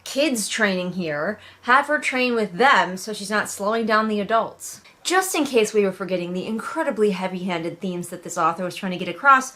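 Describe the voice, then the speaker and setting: A woman giving casual, sarcastic read-along commentary as a book reviewer, with animated, mocking emphasis. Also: medium pitch, moderate pace, hardly any pauses.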